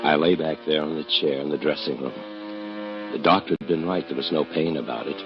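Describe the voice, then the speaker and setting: A man acting a brooding, resentful playwright in a radio suspense drama, speaking in a halting, dramatic way. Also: rumbling low, steady tone. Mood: dreamy, confessional. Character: brooding, resentful playwright confessing a secret revenge. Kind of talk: old-time radio suspense drama acting, first-person narration. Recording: muffled old radio recording with loud music.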